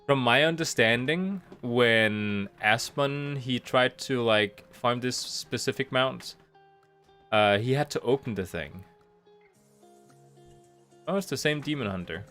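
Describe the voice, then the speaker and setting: A man's voice: medium pitch, slow pace, sharp tone, faint music behind. Man streaming a game with a slight cold. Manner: rambling, thinking aloud with restarts. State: slight cold, a bit nasal. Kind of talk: casual live-stream commentary. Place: home room, rain outside.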